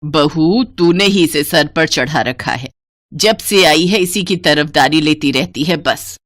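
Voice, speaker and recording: Woman as older mother-in-law, low voice, stern, nagging, bossy, dry close sound, animated storybook drama. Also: takes it slowly, no echo. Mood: exasperated.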